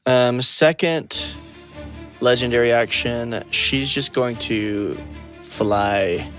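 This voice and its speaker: A man's voice: mid-range voice, takes it slowly, fairly bright tone. A man acting as game master, running a tabletop game in an informal, conversational way. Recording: faint music bed.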